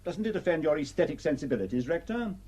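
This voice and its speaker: An actor playing a shrewd, sardonic police superintendent in a radio play, dry and probing in manner. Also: male voice, fairly high pitch, very animated, quick pace, mellow, slightly rough.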